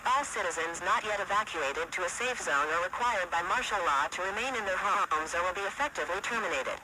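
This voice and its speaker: A man's voice: sits high, animated, brisk. A man reading a scripted emergency alert in a formal, official announcer's manner. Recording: clearly noisy radio-like broadcast audio.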